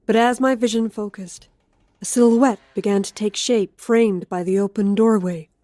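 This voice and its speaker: Woman as dark-toned haunted female narrator. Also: mid-range voice, fairly even intonation, normal speed, dry recording, lightly acted. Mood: hushed dread. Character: haunted woman narrating her ghostly encounter. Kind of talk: scripted horror narration.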